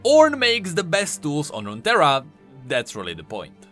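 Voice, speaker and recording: A man's voice: a little high, huge pitch swings, normal speed, fairly bright tone, soft background music. A man giving scripted, explanatory video commentary on game lore, stressing key words emphatically.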